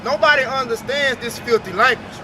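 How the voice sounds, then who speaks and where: A man's voice: extremely high pitch, fairly quick. A man street preaching, firing off rhetorical questions and urging his listeners. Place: outdoors on a city street. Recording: outdoor street recording with traffic noise.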